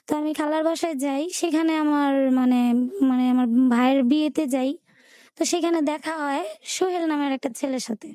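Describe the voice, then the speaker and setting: A woman with a Bangladeshi Bengali accent telling her life story in an interview, in a colloquial, halting way with repeated filler words. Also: high voice, dark tone, no room sound. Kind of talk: personal storytelling in a radio interview.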